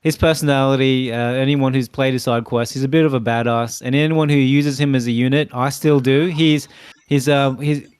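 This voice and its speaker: A man's voice: medium pitch, fairly even intonation, moderate pace, a little mellow, clear, resonant tone. A man as a guest in a casual chat, easygoing and a little hesitant.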